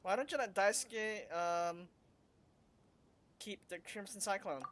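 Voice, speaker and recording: A woman's voice: medium pitch, takes it slowly. Wry woman streaming a game. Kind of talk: casual live game commentary.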